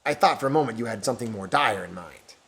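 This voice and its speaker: A man's voice: fairly low pitch, animated, quick pace, creaky, a bit bright. A man playing a scheming bishop in disguise, smug and confident. His replies come terse and heartless.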